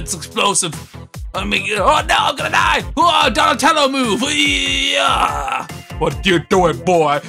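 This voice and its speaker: A man improvising a comedy dub over game cutscenes in a goofy, exaggerated, shouty way. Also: high voice, creaky. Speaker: comedic dubber. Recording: loud music behind.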